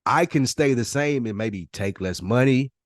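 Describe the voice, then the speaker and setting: A man's voice: medium pitch, moderate pace, no room sound, clean studio sound. A man co-hosting a podcast, chatting casually in a reflective, slangy and profane way. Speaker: podcast co-host. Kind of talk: casual chat.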